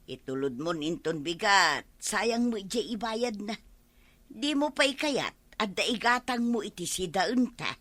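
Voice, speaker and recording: A woman's voice: mid-range voice, expressive, a little slow, fairly bright tone. A woman playing a pushy, practical driver's wife in an Ilocano comedy radio drama, nagging and questioning.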